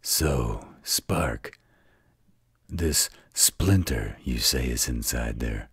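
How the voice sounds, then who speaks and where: A gruff demon dad in a fantasy audio drama. The voice is extremely low and very husky, rolling out slowly. He sounds protective and reassuring. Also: no echo, clean studio sound, exaggerated voice. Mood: steady, probing. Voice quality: very deep, gravelly husky voice. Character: gruff, protective male demon father in a fantasy werewolf tale. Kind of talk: fantasy audio roleplay acting.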